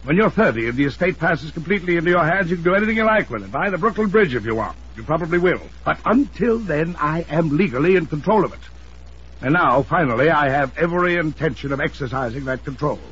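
An American actor playing a wealthy, middle-aged, domineering and hot-tempered uncle in an old-time radio drama, pompous and blustering. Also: male voice, fairly high pitch, animated, quick pace, a bit bright, slightly rough. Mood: angry, blustering. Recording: dull, hissy old radio broadcast.

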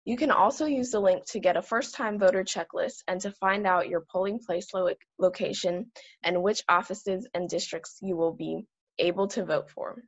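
Student announcer, woman, gravelly and husky, lo-fi sound. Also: mid-range voice, moderate pace. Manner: reading a script. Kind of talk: school morning announcements.

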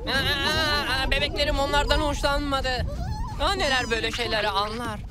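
A woman's voice: high-pitched, steady tone, normal speed, heavy background noise, close to an everyday voice. A woman voicing a doting, bossy cat sidekick who plays mother, theatrical and fussy, in a children's cartoon dub. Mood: worried. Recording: loud music behind.

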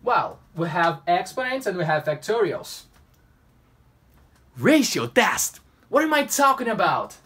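A man's voice: sits high, big pitch swings, unhurried, pauses often, bright, forceful tone, distant mic. Young Brazilian man teaching math playfully. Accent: Brazilian Portuguese. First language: Portuguese. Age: young adult. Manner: playful, talks to himself. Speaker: math tutor. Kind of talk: whiteboard math lesson. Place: small room at a whiteboard. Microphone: camera mic at a distance.

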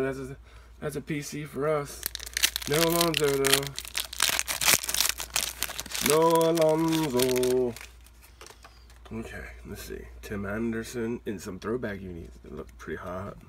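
A man hosting a card-opening video with excited, playful casual commentary, given to exclamations. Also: mid-range voice, slow, pauses often, background noise. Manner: exuberant, exclaiming and whooping, playful. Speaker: host of a trading card opening channel. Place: indoors, at a table with cards.

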